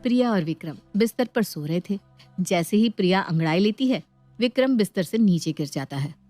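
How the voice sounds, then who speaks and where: A woman's voice: mid-range voice, animated, brisk, dark tone, dry recording, soft background music. A woman reading scripted narration as the storyteller of a domestic tale.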